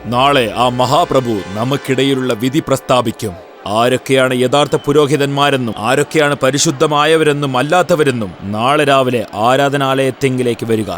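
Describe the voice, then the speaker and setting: A man playing a solemn prophet leader in a Bible cartoon, with a mid-pitched voice and a stern, declamatory delivery. Music plays clearly behind him. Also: steady tone, moderate pace, a bit bright, lightly acted. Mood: solemn, proclaiming. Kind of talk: dubbed animated Bible drama.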